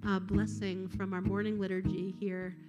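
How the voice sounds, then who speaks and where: A woman's voice: medium pitch, little pitch movement, moderate pace, over loud music. A woman leading a congregation in a service, speaking earnestly with frequent ums and uhs. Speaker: prayer and song leader.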